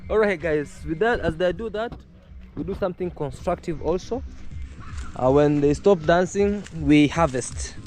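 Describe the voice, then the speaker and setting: A man's voice: on the high side, a little slow, darkish tone. A young man from Kenya chatting casually in a vlog from his rural home garden, full of fillers and restarts. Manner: hesitant, many fillers and restarts. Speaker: vlogger. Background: distant music.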